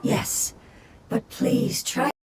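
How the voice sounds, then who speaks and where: A woman voicing a gentle, solemn nonhuman creature in a video game, speaking in a measured, formal way. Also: in a whisper, natural voice. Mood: grave, compassionate. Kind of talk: scripted video game voice acting. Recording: loud music over the voice. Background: loud game music.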